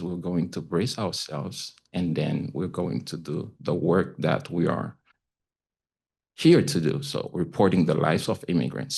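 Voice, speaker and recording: A man with a Haitian accent speaking as a panelist about his own experience, haltingly and searching for words. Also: sits low, gravelly, darkish tone, breathy edge. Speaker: panelist, community news correspondent. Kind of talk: panel talk, personal account.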